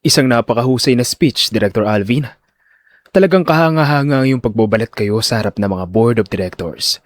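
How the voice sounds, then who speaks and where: A man's voice: medium pitch, animated, a little fast, dry recording, studio quality, close to an everyday voice. A man playing a composed, defiant neurosurgeon in an audio drama, sardonic and accusing. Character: cool, defiant male neurosurgeon out for revenge. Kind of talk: Filipino audio drama acting.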